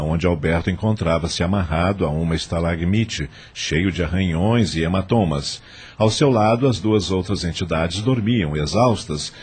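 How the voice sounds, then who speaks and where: A deep-voiced man narrates an audio drama in a Brazilian accent. His delivery is scripted storytelling in the third person. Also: slightly rough.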